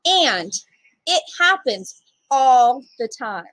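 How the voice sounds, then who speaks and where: A woman's voice: high voice, big pitch swings, very slow, fairly bright tone, no room sound, rough recording. A woman reading aloud to young children as a storytime reader, speaking to them directly in simple, child-directed phrasing.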